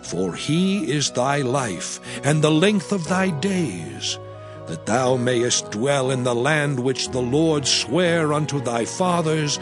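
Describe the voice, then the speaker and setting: Moses-like aged prophet, grave declamation, slightly high male voice, slow deliberate delivery, music behind, audio drama. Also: animated. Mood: solemn warning. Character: Moses, aged prophet and leader, solemn and exhorting. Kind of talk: dramatized scripture reading, solemn oration. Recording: background music under the voice.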